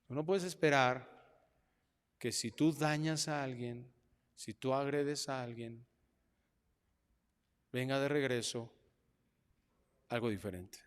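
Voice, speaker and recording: A middle-aged man with a Mexican accent preaching a sermon as pastor, animated, moving from quiet asides to loud mocking voices. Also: medium pitch, slow pace, pauses often, smooth. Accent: Mexican Spanish. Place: church hall. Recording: long hall reverb.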